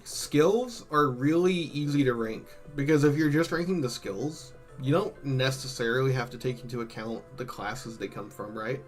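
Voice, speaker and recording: A man doing casual, slangy live-stream commentary, thinking out loud, his voice a bit worn from hours of talking. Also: medium pitch, normal speed, hardly any pauses, faint music behind. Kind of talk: casual live-stream commentary, ranking game tier lists.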